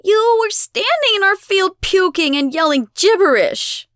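Woman voicing a shrill, defiant young peasant girl. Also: animated, a little slow, no echo, clean studio sound, full character voice. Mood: accusing. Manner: shrill, yelling, blunt. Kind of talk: audiobook character voice.

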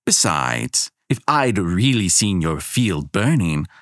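A man voicing a haughty, sharp-tongued young fire goddess in a storybook reading, snippy and mocking. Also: sits low, sing-song, takes it slowly, dry recording, studio quality, full character voice. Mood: indignant.

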